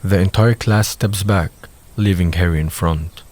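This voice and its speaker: A man narrating a scripted audio drama in a measured, descriptive manner. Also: sits low, darkish tone.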